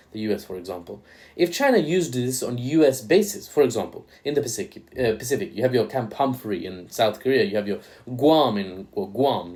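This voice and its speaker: A man's voice: medium pitch, darkish tone. Joking young man commenting on news. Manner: joking, irreverent asides.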